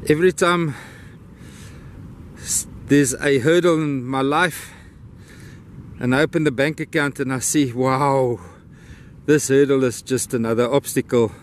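Afrikaans-accented man, mid-pitched, rambling outdoor vlog talk on a camera mic, faint background noise. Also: fairly even intonation, measured pace. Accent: Afrikaans-accented English. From South Africa. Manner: rambling, grateful, talks fondly to his dog. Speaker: vlogger. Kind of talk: casual vlog narration while walking a dog. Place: outdoors on a beach and a wooden boardwalk trail.

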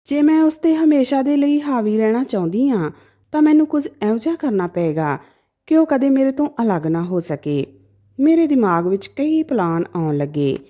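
A woman narrating a dramatic first-person story in an expressive, emotive way. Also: mid-range voice.

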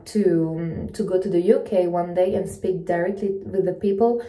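A woman chats casually to camera, haltingly searching for words in English. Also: on the low side, fairly even intonation, warm tone. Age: 20s. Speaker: travel vlogger. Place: small indoor room at night. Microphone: phone propped in front of her. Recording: echoey small room.

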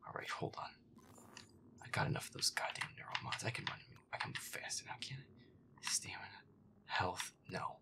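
A man playing a feisty, foul-mouthed werewolf boyfriend grumbling through a video game in a breathy, gravelly mutter. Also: medium pitch. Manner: irritable, sweary grumbling. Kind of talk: gameplay roleplay audio, muttered reactions.

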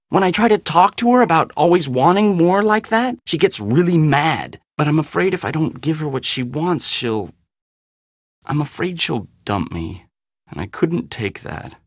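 Whiny slacker guy, swooping pitch. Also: no echo, studio quality. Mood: aggrieved, anxious. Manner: casual slacker drawl, defensive and pleading. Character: whiny, anxious young slacker man, pushed around by his girlfriend. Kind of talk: video game voice acting.

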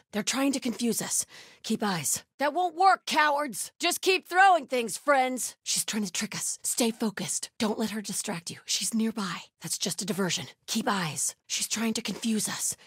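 An actress playing a hostile, watchful cult soldier in a video game, giving terse, commanding search and combat callouts. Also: female voice, mid-range voice, slightly rough, no echo, studio quality. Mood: alert, focused, then taunting shout. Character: vigilant, hostile female cult soldier hunting intruders. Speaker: video game enemy character.

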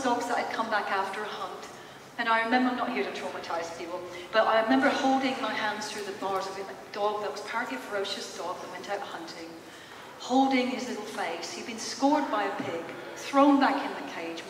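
A woman in her fifties giving an informal, conversational public talk as a storytelling presenter in a large hall. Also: medium pitch. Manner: conversational, storytelling, addresses listeners with questions. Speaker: speaker, psychologist presenting. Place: large theatre hall, in front of stage. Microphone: handheld mic through PA, captured by distant camera. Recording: echoey hall from far off, noisy.

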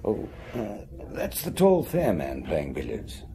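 Very deep, gravelly café owner. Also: male voice, huge pitch swings, slow pace, dark tone, full character voice. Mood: earnest, confiding. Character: hesitant, gossipy French café owner. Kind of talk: radio drama acting.

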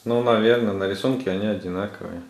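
A man's voice: lowish voice, steady tone, fast. A man chatting casually as he guides young children, encouraging and instructive, often checking with short questions. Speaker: father filming children's craft activity. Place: small furnished room at home. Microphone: camera mic, speaker off screen. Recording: roomy indoor echo.

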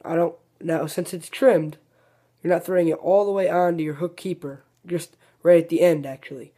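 Mellow-voiced man giving a fishing how-to. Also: a little high, moderate pace. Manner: relaxed, rambling, self-correcting explanations. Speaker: fishing video host. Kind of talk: casual how-to demonstration.

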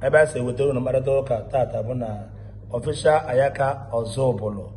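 A man's voice: mid-range voice, quick pace, a little mellow, slight background noise. A man with a Nigerian Igbo accent, politely asking interview questions. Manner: formal, courteous questioning.

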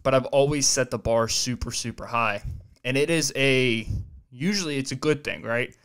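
A man's voice: medium pitch, normal speed, fairly bright tone, clean tone. Young man rambling on his podcast. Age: 20s. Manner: rambling, loose and profane, self-reflective. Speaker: podcast host. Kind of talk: casual solo chat. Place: small home studio room, indoors. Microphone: desk mic on boom arm, headphones on.

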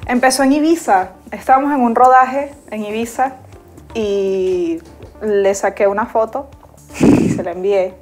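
A woman's voice: a little high, measured pace, darkish tone, soft background music. A young woman from Caracas with a Venezuelan accent, chatting easily as a guest on a sofa in a small studio set and laughing often. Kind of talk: casual interview chat.

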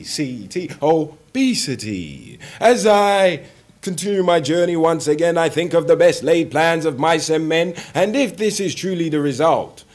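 Higher-voiced young man performing rhythmic spoken word. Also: a little mellow. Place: indoor room, seated on a sofa.

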